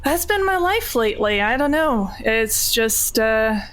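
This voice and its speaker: Higher-voiced woman improvising in a game. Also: animated, a little slow, fairly bright tone. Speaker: tabletop game player. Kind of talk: casual in-character improvised chat.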